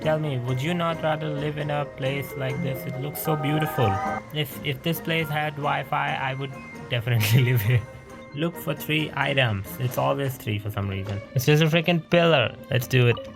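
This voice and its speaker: A man's voice: medium pitch, moderate pace, gravelly, poor audio. A young man giving casual commentary on a game he is playing, wry and grumbling. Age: young adult. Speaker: gamer commenting on a playthrough. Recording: game music playing behind the voice.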